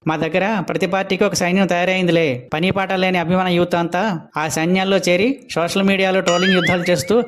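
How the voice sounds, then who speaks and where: A man's voice: sits high, flat delivery, fast, a bit bright. A man voicing a cheeky, boastful good-for-nothing drunkard who grumbles in slang in a comic cartoon. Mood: grumbling. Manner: slangy, complaining, bragging.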